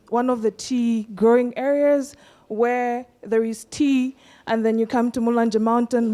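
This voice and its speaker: A woman with a Malawian accent presents her research at a conference. Her voice is fairly high yet mellow and warm. She explains things earnestly and pauses often on "um". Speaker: medical researcher presenting a study.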